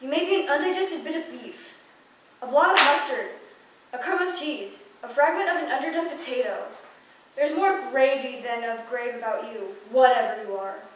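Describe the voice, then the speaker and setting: A woman's voice: high voice, moderate pace, a bit bright. A young woman student acting a grumpy, curt, miserly old businessman in a radio play. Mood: wary, defiant. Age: teenager or young adult. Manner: curt, dismissive. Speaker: student actor. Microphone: stand mic, recorded from distant camera. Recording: echoey room, far mic, background noise.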